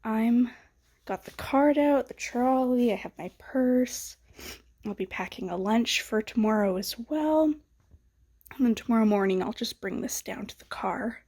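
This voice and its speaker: Young female vlogger with a cold, nasal and husky, slow, soft chatty delivery at home. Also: fairly high pitch, expressive. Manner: soft-spoken, chatty, often trailing into whispers. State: sick, sniffly and nasal. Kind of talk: casual chat to camera. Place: home studio.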